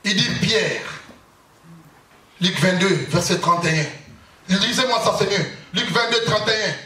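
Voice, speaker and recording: A male pastor preaching a sermon in a church hall, emphatic, with bursts of shouting and lots of repetition. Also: high voice, measured pace. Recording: echoey hall, far from mic, faint noise.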